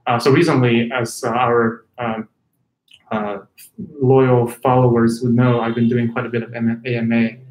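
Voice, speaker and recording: In a roomy, echoing space, a man from China answers casual interview questions as a tech executive. His voice sits at a middling pitch, and he feels his way through sentences with pauses and repeated words. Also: little pitch movement, a little mellow, soft background music.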